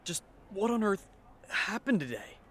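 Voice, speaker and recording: A man's voice: high voice, very animated, a little slow, a little hiss or hum. A man playing a sardonic, bewildered teenage schoolboy who narrates an anime fandub with dry incredulity. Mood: ominous, dismayed. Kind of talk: anime fandub, inner monologue and dialogue.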